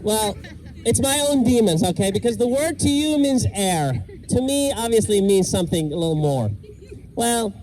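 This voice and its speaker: A middle-aged man from Iraq doing a self-deprecating stand-up set with deadpan punchlines, outdoors in a park. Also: high voice, rough recording. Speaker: stand-up comedian. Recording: outdoor noise and passing traffic. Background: park ambience and vehicles.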